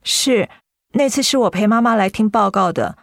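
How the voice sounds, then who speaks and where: An actress playing a concerned, attentive grown daughter in a radio drama, polite and earnest. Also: female voice, medium pitch, expressive, moderate pace, gravelly, dry recording, clean studio sound.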